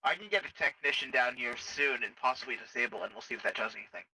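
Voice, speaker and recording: Man playing a very sharp, dry-sounding sci-fi technician. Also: medium pitch, lively intonation, quick pace, breathy edge, dry recording, heavily acted, low-quality recording. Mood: clinical, detached. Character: clinical male sci-fi military medical technician. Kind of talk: improvised in-character game roleplay.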